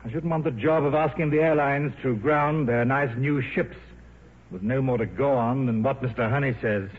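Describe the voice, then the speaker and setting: An actor playing a gruff, sardonic senior English boss in a 1950s radio drama, brusque and commanding. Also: male voice, medium pitch, moderate pace, narrow, tinny audio, close to an everyday voice. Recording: thin, band-limited 1950s radio recording.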